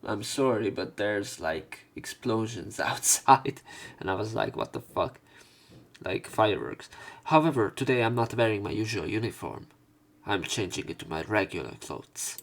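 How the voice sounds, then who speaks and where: A man's voice: fairly low pitch, expressive, normal speed, creaky. A man doing let's play commentary, reading game text aloud and adding informal, rambling, hesitant remarks. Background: soft game music.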